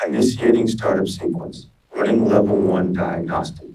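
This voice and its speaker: A man voicing an emotionless computerized robot making flat, measured announcements through a distorting robot filter. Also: deep voice, soft background music. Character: mechanical computerized robot announcing a startup sequence. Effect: robot filter with static, distortion, phaser and wah-wah.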